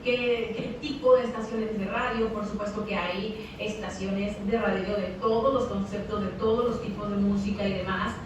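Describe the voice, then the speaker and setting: A young Mexican woman, a radio announcer, speaking with a Mexican accent. She answers interview questions haltingly, repeating words as she thinks aloud. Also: medium pitch, steady tone. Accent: Mexican Spanish. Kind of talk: interview over a video call. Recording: echoey, distant, noisy video call audio.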